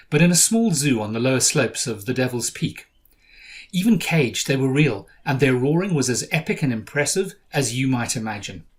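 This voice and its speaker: Storytelling man hosting a podcast. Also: medium pitch. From South Africa. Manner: storytelling with dry humor. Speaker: podcast host. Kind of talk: scripted podcast narration.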